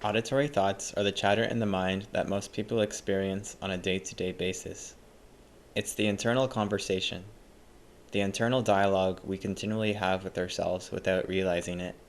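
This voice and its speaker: A man leading a guided meditation as a scripted instruction, speaking in a calm, measured and gently instructive way. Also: sits low, slight background noise.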